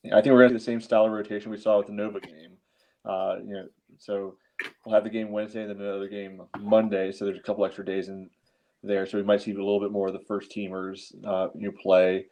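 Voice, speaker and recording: A man with a fairly low, soft-edged voice co-hosts a sports podcast. His tone is dark and relaxed, and he breaks down games casually and matter-of-factly. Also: quick pace.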